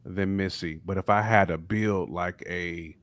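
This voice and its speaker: A man's voice: sits low, fairly even intonation, a little slow, dry recording. An American man giving opinions on a podcast, emphatic and slangy, often repeating his points. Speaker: podcast panelist. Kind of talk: casual opinionated discussion.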